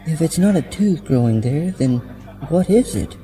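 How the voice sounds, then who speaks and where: A man's voice: fairly high pitch, animated, normal speed, dark tone, voice pushed up, close to an everyday voice. A man voicing a helpful, matter-of-fact teenage friend in a horror story, in a raised, inquisitive voice. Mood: helpful, puzzled. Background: music.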